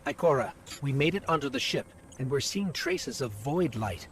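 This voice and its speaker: Lively, earnest robot companion voiced by a man. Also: medium pitch, normal speed, a little hiss or hum, natural voice. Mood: focused, wary. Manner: expressive, chatty, earnest. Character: small floating robot ghost companion, earnest, talkative, anxious. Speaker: companion guide to the player. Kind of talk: video game voice acting.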